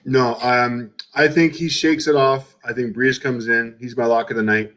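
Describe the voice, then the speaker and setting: A man's voice: mid-range voice, fairly even intonation, normal speed, a bit bright. A man co-hosting a casual betting show, confidently giving his picks and repeating his main points. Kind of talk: casual chat.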